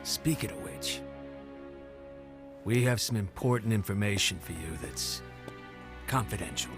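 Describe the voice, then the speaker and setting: An actor playing a gruff, world-weary middle-aged fighter in an animated series, with a dry manner. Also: male voice, fairly low pitch, unhurried. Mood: dry, guarded. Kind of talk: animated series drama acting. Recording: music behind the voice.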